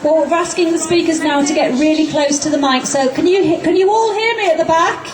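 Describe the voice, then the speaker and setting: A woman with a very loud voice compering an outdoor protest rally, introducing speakers in a brisk, chatty manner. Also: very high voice. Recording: distant echoey rally recording with crowd and noise.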